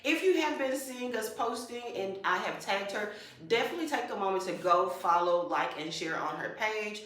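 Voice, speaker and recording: A bubbly, upbeat woman hosts a live podcast in a small studio. Her voice sits at an ordinary middle pitch and rings with a lot of room echo. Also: normal speed, fairly bright tone. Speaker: podcast host. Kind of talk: casual live-stream chat. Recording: very echoey room.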